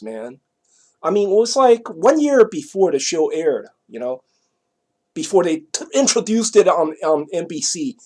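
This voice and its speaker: A middle-aged man from Taiwan with a Taiwanese accent, telling a personal story casually in a rambling, halting, repetitive way, often checking that the listener follows. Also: sits high, a little mellow.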